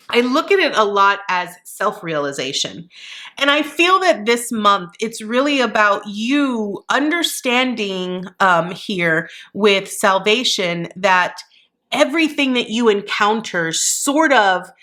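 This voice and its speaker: Slow, bright-voiced woman reading tarot cards. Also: a little high. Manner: reflective and encouraging, with many fillers. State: rough voice with a slight cough. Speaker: psychic tarot reader. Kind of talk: monthly tarot card reading.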